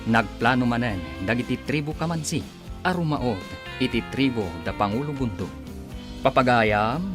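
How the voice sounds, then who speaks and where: A man announcing and narrating a scripted radio drama in a measured, dramatic manner. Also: medium pitch. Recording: prominent music under the voice.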